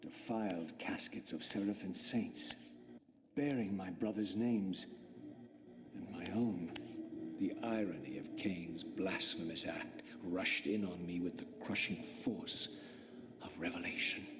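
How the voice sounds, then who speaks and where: An actor playing a bitter, eloquent undead vampire, narrating a video game with grave, measured, theatrical diction. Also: male voice, sits low. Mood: brooding, grave. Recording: reverberant hall, faint noise.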